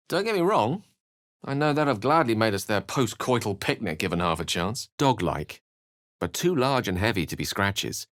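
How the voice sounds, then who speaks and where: An actor playing a scholarly, self-assured and romantic human wizard in a video game, with an eloquent, theatrical way of speaking. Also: male voice, lowish voice, a bit bright, dry recording, studio quality. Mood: charming, flourishing, then thoughtful. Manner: eloquent, theatrical, ornate phrasing. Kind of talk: video game character lines and narration.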